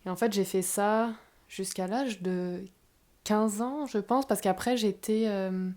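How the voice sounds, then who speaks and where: A woman from France with a Parisian accent, in her forties, talking about her life as a guest dancer and choreographer in a relaxed, thoughtful way. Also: medium pitch, takes it slowly. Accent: Parisian French. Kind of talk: interview conversation.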